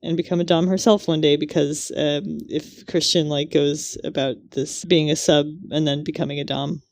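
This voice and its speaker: A woman's voice: sits low, fairly even intonation, normal speed, gravelly, darkish tone, dry recording. A woman co-hosting a podcast, musing casually through a book in a rambling way. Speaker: podcast co-host.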